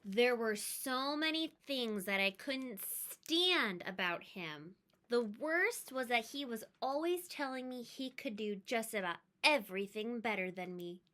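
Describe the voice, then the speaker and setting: A woman reading a children's story aloud in an expressive, emphatic way, with chatty asides. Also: fairly high pitch, unhurried.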